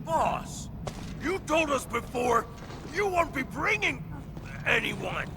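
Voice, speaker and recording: Very high-pitched rowdy male bandit. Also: sing-song, takes it slowly, noisy background, full character voice. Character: excitable male bandit underling, rowdy and brash. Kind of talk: video game voice acting. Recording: game music behind.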